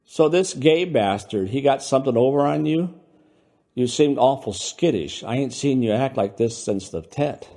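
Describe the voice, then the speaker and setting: A man voicing a big, blustering, loyal diner owner in a short story, with barking, folksy, rough speech. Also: mid-range voice, lively intonation, normal speed, mellow, pitched above the performer's own voice, close to an everyday voice. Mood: scolding, hearty. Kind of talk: dramatised short-story reading.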